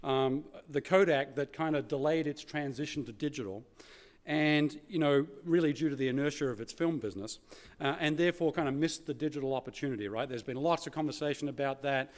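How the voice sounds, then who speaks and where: A man's voice: fairly high pitch, a little fast. A man with an Australian accent giving an informal keynote presentation, conversational and peppered with casual tags like "you know" and "right?". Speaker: keynote speaker.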